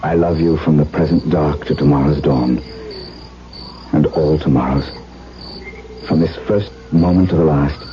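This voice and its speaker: An actor playing an earnest young gentleman suitor in a 1970s radio drama, formal, measured and romantic. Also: rumbling low, fairly even intonation. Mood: ardent. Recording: muffled, noisy 1970s radio broadcast.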